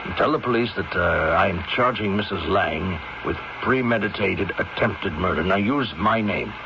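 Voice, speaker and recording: An actor playing a resolute family doctor with a measured, authoritative manner and an American accent in a 1970s radio drama. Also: male voice, deep voice. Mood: grave, resolute. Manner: measured, authoritative, repeats himself. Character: resolute male family doctor calmly exposing a crime. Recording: muffled, noisy 1970s radio recording.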